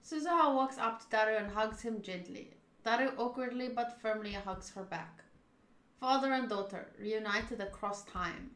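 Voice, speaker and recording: A woman's voice: a little high, moderate pace, a bit bright, echoey room, low-quality recording. A woman streaming a visual novel, reading the narration aloud and reacting casually and expressively.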